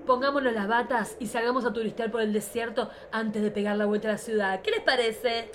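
A man's voice: very high voice, steady tone, brisk, a bit bright. A man playing a bossy, catty old-guard showgirl diva in a campy comic radio play. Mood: bossy, showy. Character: bossy, bickering oldest cabaret showgirl diva, a woman. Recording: clearly noisy background.

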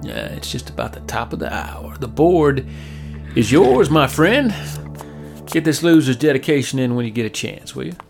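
An actor playing a jaded, teasing rock radio station colleague with halting, slangy talk in a horror audio drama. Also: male voice, mid-range voice, sing-song, vocal fry, faint music behind. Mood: gossipy, amused.

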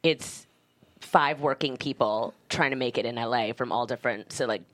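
A woman's voice: very deep voice, normal speed. A woman, talking informally and loosely as a guest writer in an interview. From Canada, suburban Toronto. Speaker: guest screenwriter. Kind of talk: casual conversational interview.

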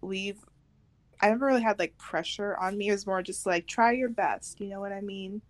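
A woman's voice: mid-range voice, moderate pace. A young woman chatting casually as a podcast co-host, in an informal, loosely phrased way. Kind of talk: casual chat.

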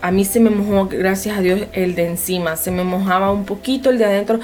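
A woman's voice: mid-range voice, steady tone, fairly quick, darkish tone. A woman with a Honduran Spanish accent, an interview guest telling a personal story in casual conversation, explaining things directly to the listener.

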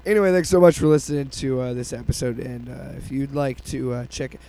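A man co-hosting a casual podcast chat, hoarse from a cold, rambling and restarting his sentences. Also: mid-range voice, steady tone, a little fast, warm tone. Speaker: podcast co-host.

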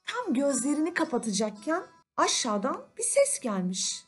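A woman storyteller narrating a children's fairy tale in an animated, expressive manner. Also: sits high, moderate pace, faint music behind.

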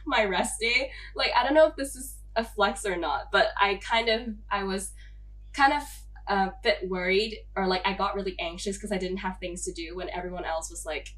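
A woman's voice: mid-range voice, moderate pace, fairly bright tone. A young woman from Hong Kong hosting a podcast, chatting casually with lots of "like" fillers and frequent laughs. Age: young adult. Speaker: podcast host. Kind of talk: casual chat.

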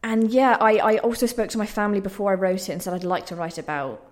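A woman's voice: mid-range voice, quick pace. A young woman giving reflective interview answers in a hesitant, self-deprecating, thoughtful way. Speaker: playwright being interviewed.

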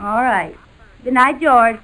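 A woman's voice: fairly high pitch, takes it slowly, bright, forceful tone. A woman playing a playful, wheedling wife in a 1940s radio comedy, with a theatrical, coaxing delivery. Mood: chirpy. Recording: noisy 1940s radio recording.

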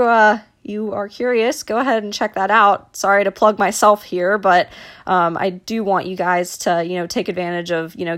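A woman's voice: on the low side, a bit bright. A young woman from Dallas, hosting a casual solo podcast and talking in a chatty way, with lots of ums and restarts. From Dallas, Texas. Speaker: podcast host, mental performance coach.

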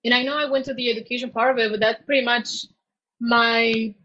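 High-voiced woman, halting. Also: fairly even intonation, sharp tone, dull audio. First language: Spanish. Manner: halting, searching for words. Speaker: interview guest. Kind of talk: casual personal storytelling.

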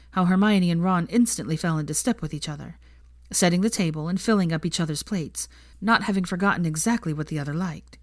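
Lowish-voiced woman narrating a story. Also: no room sound, clean studio sound. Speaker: audiobook reader of fan fiction.

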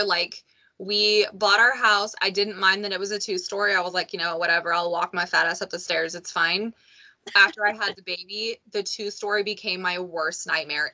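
A woman hosts a podcast, speaking candidly and with plenty of animation. Her voice has a bright, forceful edge, and her pitch sits in the middle. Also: poor audio. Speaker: podcast host. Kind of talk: casual conversation.

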